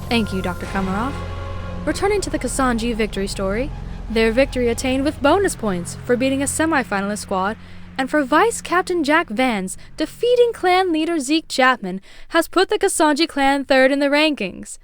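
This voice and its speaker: High-voiced woman acting as a formal news anchor. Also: lively intonation, a little hiss or hum. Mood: formal, composed. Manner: formal, measured broadcast delivery. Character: polished female TV news anchor. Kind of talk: scripted news reporting.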